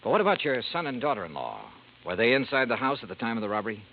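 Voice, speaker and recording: An actor playing a wry, cool insurance investigator who narrates and questions people in a dry, laconic way, in a 1950s radio drama. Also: male voice, mid-range voice, sing-song, racing. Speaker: narrator and lead detective. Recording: tinny lo-fi old radio broadcast with faint hiss.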